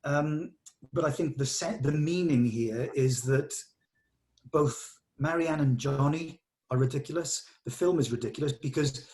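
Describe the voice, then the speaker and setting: A man's voice: mid-range voice, darkish tone. A man directing a read-through, giving actors encouraging notes in conversation and often rephrasing as he goes.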